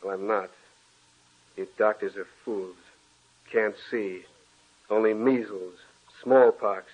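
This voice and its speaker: A tense, haunted husband, curt and fearful on an old radio drama. The voice is husky and sits at a middling pitch. It comes through a thin, tinny broadcast recording. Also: male voice, fairly even intonation, takes it slowly. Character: haunted man, tense, paranoid, sleepless. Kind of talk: 1940s radio acting.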